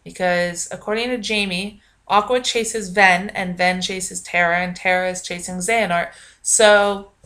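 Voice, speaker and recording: Young adult woman, casual vlogger; loud, projecting, self-deprecating ramble. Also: on the low side, steady tone, unhurried. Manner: rambling, self-mocking, lots of ums. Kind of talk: casual vlog chat.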